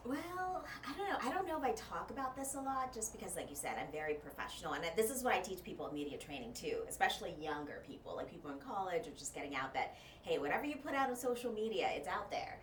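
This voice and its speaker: A woman's voice: medium pitch, fast, few pauses. A woman from Thailand, a journalist and media trainer, chatting casually as a guest in an interview and talking with lots of fillers. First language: Thai. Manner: animated, chatty, many fillers. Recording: roomy, distant mic, noisy.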